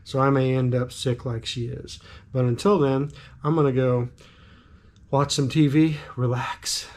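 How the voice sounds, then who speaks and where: A middle-aged man talks casually to camera in his vlog, thinking aloud with plenty of ums. His voice is raspy from a cold, set at an ordinary middle pitch. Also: little pitch movement, measured pace, a little mellow. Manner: musing, frequent ums. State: has a cold. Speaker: vlogger.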